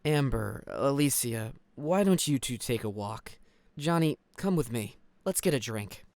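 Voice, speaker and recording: Man acting a calm mentor, dry studio sound. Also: medium pitch, a little slow, vocal fry, dry recording. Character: Nobu, calm, polite, insightful male mentor. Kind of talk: audio drama acting.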